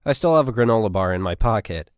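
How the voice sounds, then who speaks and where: Man reading as a low, polite woman scientist. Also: expressive, fast, clear, resonant tone, dry recording, clean studio sound, lightly acted. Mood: wry, declining. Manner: polite, restrained. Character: polite, weary female scientist among soldiers.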